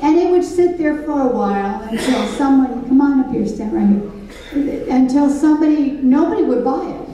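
An older woman teaches a church Bible study with a folksy, conversational touch. Her voice is very dark and mellow, heard from a distance through a handheld microphone. The big hall around her echoes strongly. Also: high voice, animated, moderate pace. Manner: folksy, conversational, laughs at her own stories. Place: church hall stage with projector screen.

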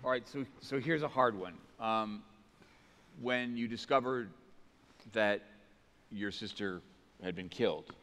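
A man's voice: lowish voice, a bit bright. Older man moderating a stage panel. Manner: dramatic, folksy, halting questions. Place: large stage studio with audience. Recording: long hall reverb.

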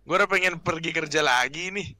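A man's voice: a little high, a little fast, bright, forceful tone. A young man hosting a live stream, bantering bluntly in slang. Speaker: streamer host. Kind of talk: casual chat on a live stream.

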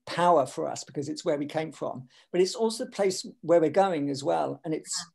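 An older man speaks over a video call from his home, his voice gravelly and husky. He talks in an animated, digressive way, restarting his sentences as he reflects. The tone is that of a literary conversation. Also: on the high side, moderate pace. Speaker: author in panel conversation. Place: home room with shelves.

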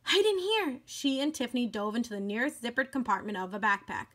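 Bright, high-voiced woman reading aloud. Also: fast talker. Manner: clear, expressive storytelling. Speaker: elementary school educator. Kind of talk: children's book read-aloud.

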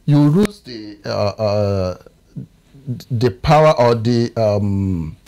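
A man in his thirties with a Nigerian English accent, a political analyst talking in a TV studio, often pausing to search for words. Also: on the low side, expressive, mellow. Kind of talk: studio discussion.

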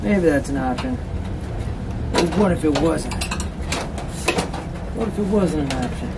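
Male DIY vlogger, folksy and self-deprecating, slightly high voice, casual working chatter, recorded at a distance over clear noise. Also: animated, unhurried. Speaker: host of a DIY outdoors build vlog. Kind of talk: casual talking to camera while working.

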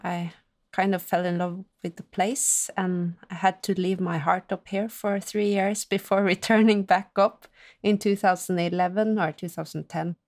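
A woman from Norway speaks in a fairly low voice. She answers interview questions casually, often repeating a word as she thinks. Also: steady tone, moderate pace, a little mellow. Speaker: architect guest.

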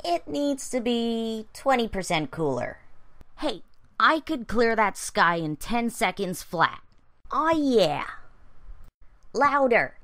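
A man's voice: very high voice, very animated, slow, pushed and bright, pitched above the performer's own voice, full character voice. A man voicing a brash, cocky, wisecracking female cartoon character.

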